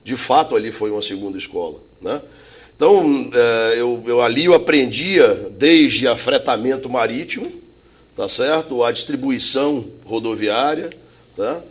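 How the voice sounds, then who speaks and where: A man's voice: medium pitch, expressive, normal speed, a bit bright, husky. Chatty middle-aged man. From Bahia, Brazil. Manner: chatty, anecdotal, frequent tag questions. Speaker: interview guest, logistics executive. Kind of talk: relaxed storytelling in an interview.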